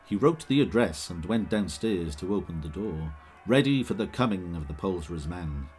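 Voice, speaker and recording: A man giving measured, scripted dramatic narration as the storyteller of a Victorian Christmas tale. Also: deep voice, animated, a little mellow, faint music behind. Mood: measured, fond.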